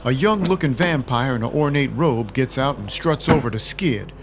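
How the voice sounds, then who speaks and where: An actor playing a world-weary but compassionate vampire detective, narrating an audio drama in a dry, conversational first person. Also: male voice, mid-range voice, lively intonation, moderate pace, slight background noise, close to an everyday voice. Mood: dry, explanatory. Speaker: narrator and lead.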